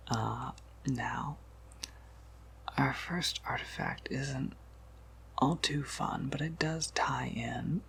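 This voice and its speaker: Vampire apothecary, played by a man: gentle, considerate ASMR roleplay whisper with heavy fry, unhurried and faintly absent-minded. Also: full character voice. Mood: inviting, reassuring. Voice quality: breathy whisper breaking into creaky low murmur. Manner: gentle, considerate, hesitant with small asides. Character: soft-spoken, polite, slightly scatterbrained male vampire apothecary shopkeeper.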